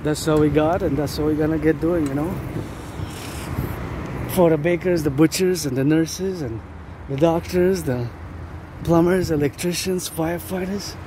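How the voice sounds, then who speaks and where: A man's voice: fairly high pitch, fairly even intonation, normal speed. A man delivering a rambling outdoor monologue, insistently repeating words and phrases. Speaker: vlogger. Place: outdoors near a road with vehicles. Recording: loud outdoor traffic noise. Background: passing vehicles, urban ambience.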